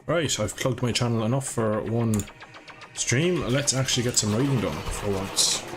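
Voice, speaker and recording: A man's voice: medium pitch, moderate pace, background noise. A man chatting his way through a live game stream, rambling and swearing when he crashes. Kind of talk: live gameplay commentary.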